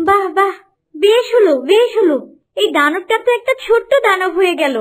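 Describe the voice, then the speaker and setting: A tiny talking horse from a cartoon tale, played by a woman. The voice is extremely high, earnest and exclamatory, anxious to help. Faint music runs underneath. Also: expressive, normal speed. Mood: delighted, eager. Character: small loyal talking horse, anxious and helpful. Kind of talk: cartoon story dubbing.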